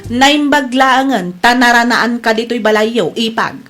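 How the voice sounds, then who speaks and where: An actress in a radio drama playing a pushy, scheming sister-in-law who talks in an insistent, coaxing way. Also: female voice, on the high side, a little slow, over music, natural voice.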